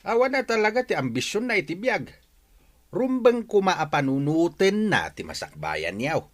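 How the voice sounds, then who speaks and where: A man's voice: sits high, big pitch swings, normal speed. An actor in a radio drama playing a kindly older uncle who gives firm, fatherly advice. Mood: concerned, advising. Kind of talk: Ilocano radio drama acting.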